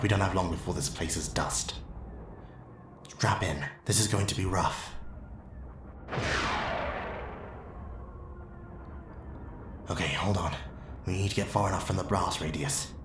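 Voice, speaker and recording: A man playing a calculating Sith Lord in an ASMR roleplay, speaking in a measured, persuasive, commanding way. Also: low voice, natural voice. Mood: brisk, commanding. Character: calculating male Sith Lord, smooth seducer to dark side. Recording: echoey with faint background noise.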